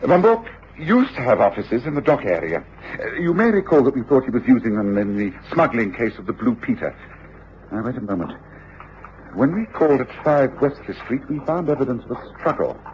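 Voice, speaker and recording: A man acting a commanding Victorian English detective in a radio play. His delivery is precise and clipped, with lively swings of pitch. The old recording is dull, with a faint layer of noise. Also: a little fast, lightly acted. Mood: urgent, reasoning. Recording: muffled, noisy old radio drama recording.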